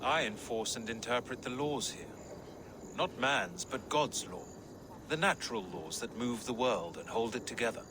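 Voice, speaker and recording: An actor playing a courteous, calculating medieval English reeve with a measured, dryly ironic manner. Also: male voice, mid-range voice, animated, noisy background, close to an everyday voice. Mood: wry, courteous. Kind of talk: video game character acting.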